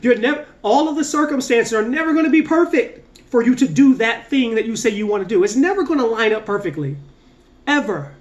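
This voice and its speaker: A man talking as a mindset coach, giving an earnest, casual motivational talk to camera, rising on key points and slowing down to stress his words. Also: extremely high pitch, lively intonation. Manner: earnest, repetitive, emphatic with drawn-out slow endings.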